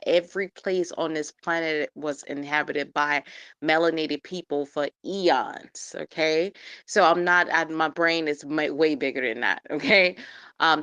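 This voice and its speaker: A woman's voice: low-pitched, bright, forceful tone, clean tone, no echo. A woman speaking up in a live group discussion, assertive and insisting on finishing her point. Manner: assertive, insists on not being interrupted. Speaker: participant.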